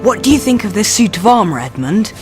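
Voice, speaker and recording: Performer as an animated, earnest schoolboy. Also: medium pitch, a little fast, faint music behind, natural voice. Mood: eager. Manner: polite, earnest, questioning. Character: earnest, polite schoolboy, eldest brother. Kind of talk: radio drama acting.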